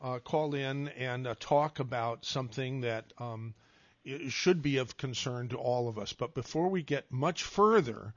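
A man's voice: mid-range voice, unhurried, dry recording. A man hosting a call-in radio show in a casual, jokey, rambling way, often restarting his phrases. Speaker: radio talk show host. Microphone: studio microphone. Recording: dull, dry radio studio sound.

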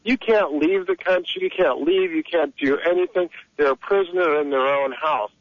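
A man's voice: a little high, normal speed, no echo. A man calling in to a radio talk show, talking in a heated, rambling, opinionated way over the phone. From Egypt. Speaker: caller. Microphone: telephone. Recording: dull lo-fi phone line.

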